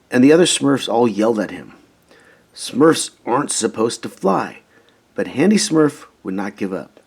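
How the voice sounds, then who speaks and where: A man reading a children's storybook aloud in an expressive, emphatic way. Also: medium pitch, breathy edge. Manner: animated, emphatic, dramatizing story moments. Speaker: storybook reader.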